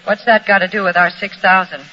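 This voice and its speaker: A woman plays a hard-edged, demanding female crook in a vintage radio crime play. The voice is piercingly bright, blunt and impatient, with the muffled tone of an old broadcast. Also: medium pitch, fairly even intonation, a little fast, natural voice. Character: sharp, demanding female criminal accomplice. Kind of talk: 1940s-50s radio crime drama acting.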